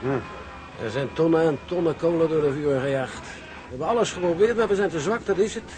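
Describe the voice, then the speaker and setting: An actor playing a blunt, matter-of-fact, doubting ship's officer in an audio drama. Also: male voice, a little high, a little fast, natural voice. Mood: grim. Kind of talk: Dutch radio drama acting. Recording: noisy outdoor ship ambience.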